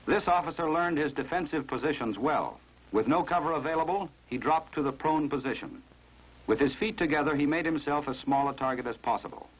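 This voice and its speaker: An American man reading scripted narration for a 1950s instructional film in a formal, measured, authoritative manner. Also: a little high, breathy edge. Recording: dull, muffled vintage 1950s film soundtrack.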